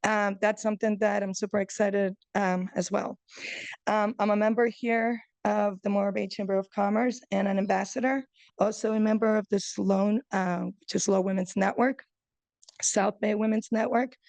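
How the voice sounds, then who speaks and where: A woman in her forties, originally from Chile, speaks at a breakfast meeting, reading haltingly from her phone. Her mid-range voice has a gravelly edge, and she fills the gaps with ums and uhs. The sound is dry and close. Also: mid-range voice, level tone, darkish tone, no echo. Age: 40s. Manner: hesitant, many ums and uhs, reading from notes. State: nervous. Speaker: gallery owner giving a talk. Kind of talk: nervous public speech read from a phone.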